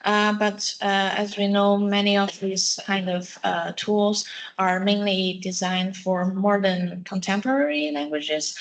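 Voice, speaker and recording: A woman giving an academic conference presentation, pausing often with filler sounds. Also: mid-range voice, steady tone, low-quality recording. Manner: halting, many filler sounds. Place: seminar room.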